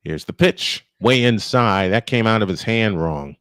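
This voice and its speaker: A man's voice: lowish voice, animated, a little slow, a bit bright, no room sound, studio quality. A man calling a baseball game live as play-by-play announcer, with conversational, opinionated asides. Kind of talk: live sports commentary.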